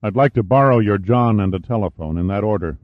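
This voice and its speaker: A man's voice: on the low side, brisk, lightly acted. A man voicing a courteous, serious military colonel in an audiobook, formal and understated. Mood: businesslike. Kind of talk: audiobook character dialogue. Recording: dull, roomy, echoey sound.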